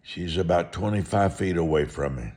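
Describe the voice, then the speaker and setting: An American man preaching a motivational sermon and telling stories in a deliberate, emphatic way, repeating short phrases. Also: sits low, lively intonation, a little mellow.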